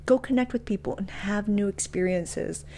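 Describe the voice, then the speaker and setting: A woman's voice: mid-range voice, moderate pace, warm tone, slight background noise. A woman speaking as a motivational speaker, casual and frank, with emphatic delivery and slangy asides. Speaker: motivational speaker and podcast host. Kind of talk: motivational talk with personal anecdotes.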